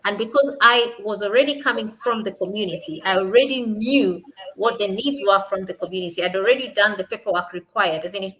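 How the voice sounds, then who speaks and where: A young woman from Kenya with a Kenyan accent, speaking at a panel in an emphatic way that rises to forceful peaks on her key points. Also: mid-range voice, a little fast, hardly any pauses, poor audio. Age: young adult. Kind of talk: impassioned panel talk.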